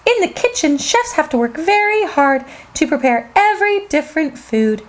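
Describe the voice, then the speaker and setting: A woman reading a children's story aloud in an animated, sing-song way as the host. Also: sits high, normal speed.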